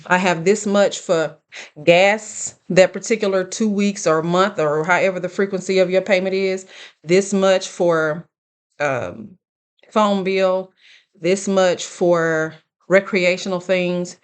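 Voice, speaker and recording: A woman in her late thirties giving casual, earnest life advice straight to her viewers, speaking deliberately and checking in with them. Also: lowish voice. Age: late 30s. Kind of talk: casual direct-to-camera advice talk.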